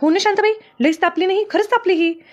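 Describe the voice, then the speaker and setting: A woman's voice: very high voice, fast talker. A woman voicing a bossy, sensible village woman in a cartoon comedy. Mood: urging. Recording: dull lo-fi sound with music behind.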